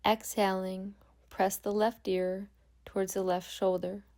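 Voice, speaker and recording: Woman, meditation guide, very slow pace, gravelly vocal fry, calm gentle instructions, long pauses. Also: medium pitch. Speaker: yoga nidra instructor.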